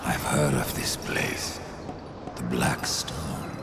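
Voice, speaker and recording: An actor playing a grim, brooding horseman of the apocalypse in a video game, terse and menacing, with a deep gravelly voice. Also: steady tone, slow, loud music behind the voice, exaggerated voice. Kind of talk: video game cutscene acting. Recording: long hall reverb, loud noise behind.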